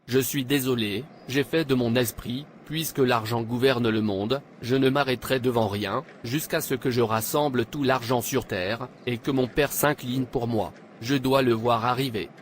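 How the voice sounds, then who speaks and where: A man's voice: mid-range voice, steady tone, moderate pace, hardly any pauses, a little hiss or hum, natural voice. A man playing a stubborn, greedy, bitter young man in a cartoon, with defiant, declarative delivery.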